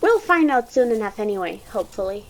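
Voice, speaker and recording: A woman's voice: on the high side, very animated, fast talker, a little hiss or hum. A woman voicing a casual, eager youngster in an audio drama.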